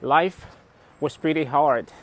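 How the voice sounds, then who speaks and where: Slow-talking chatty man from Cameroon. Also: a little high, fairly bright tone. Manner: chatty, laughs often, halting with fillers. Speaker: vlogger. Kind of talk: casual walking vlog. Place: outdoors on a city street. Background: faint street traffic.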